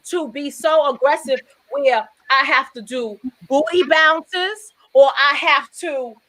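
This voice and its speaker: This is a woman with a high-pitched voice, hosting a talk show in a casual tone. She speaks with emphatic, preachy conviction and rambles through her points, often restarting mid-sentence. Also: slow pace, bright, forceful tone, no echo, poor audio.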